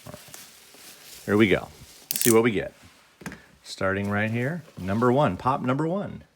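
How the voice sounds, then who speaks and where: Gravelly low-voiced man with a cold. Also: very animated, normal speed, slight background noise. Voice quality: deeper than usual, gravelly. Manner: plain, chatty, grading each item out loud. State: has a cold. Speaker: toy unboxing vlogger. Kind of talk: casual unboxing commentary.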